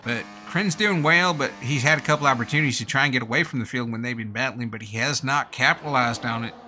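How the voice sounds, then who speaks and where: A man's voice: medium pitch, quick pace, pushed and bright, soft background music. A man doing live sim-racing commentary in a put-on American accent, informal and slangy, with casual asides. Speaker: race commentator and streamer.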